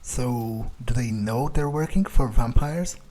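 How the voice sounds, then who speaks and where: A man role-playing a ruthless, brooding vampire in a game playthrough, thinking aloud in a halting, coldly wry way. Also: mid-range voice, dark tone. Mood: confident. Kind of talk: in-character game playthrough narration.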